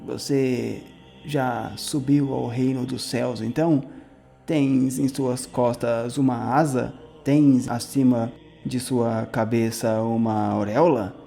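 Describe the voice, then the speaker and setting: A defiant male priest, played by a man at a game table, speaks very slowly in a dark, mellow, gravelly voice. He is dry and sarcastic, choosing each word in this audio drama. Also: medium pitch, steady tone, faint music behind. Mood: defiant, measured. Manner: deliberate, halting, sarcastic.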